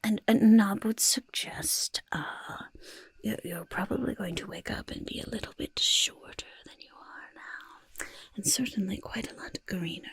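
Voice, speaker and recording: A woman voicing a boastful, evasive goblin potion-maker in soft-spoken, breathy ASMR roleplay, fussing over the listener and hesitantly backpedalling. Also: lowish voice, no room sound, heavily acted. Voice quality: breathy, husky near-whisper. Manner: refers to self in third person, hesitant backpedalling.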